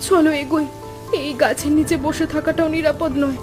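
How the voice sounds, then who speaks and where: A woman as an anxious, high-voiced village wife. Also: steady tone, a little fast, over music, lightly acted. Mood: fearful. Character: anxious village wife and mother, fretful, sheltered. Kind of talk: cartoon voice acting.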